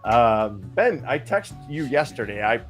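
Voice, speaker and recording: A man's voice: mid-range voice, very animated, measured pace, faint music behind. A man co-hosting a casual podcast chat, rambling with many fillers and restarts. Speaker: co-host.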